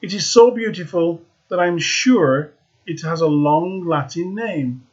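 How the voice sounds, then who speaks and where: Impulsive young student, lifted a little higher than the reader's own voice, as a man puts him on; lo-fi sound. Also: measured pace. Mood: delighted. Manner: excited, then sulky and lecturing.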